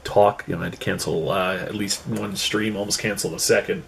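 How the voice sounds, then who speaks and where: Low gravelly stuffy-nosed man vlogging. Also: steady tone, brisk, a little hiss or hum. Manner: rambling, many uh fillers, explains prop build step by step. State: stuffy nose from a cold. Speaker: cosplay vlogger. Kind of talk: casual show-and-tell vlog. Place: small bedroom indoors.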